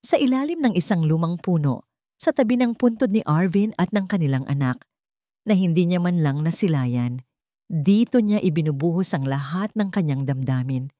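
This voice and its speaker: A woman's voice: lowish voice, lively intonation, normal speed, mellow, dry recording, studio quality. A woman reading a dramatic romance story in an emotive, melodramatic way. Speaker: story narrator.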